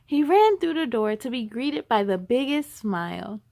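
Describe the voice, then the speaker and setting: Animated high-voiced woman reading a storybook. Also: moderate pace, vocal fry, no room sound, clean studio sound. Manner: expressive, emphatic reading. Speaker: storytime read-aloud host. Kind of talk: animated children's storybook narration.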